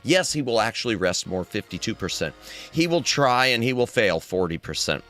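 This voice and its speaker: A man's voice: on the low side, very animated, moderate pace, a bit bright, faint music behind. A man livestreaming, tired and straining his throat, chatting casually in a playful, rambling way and humming to himself. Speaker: streamer.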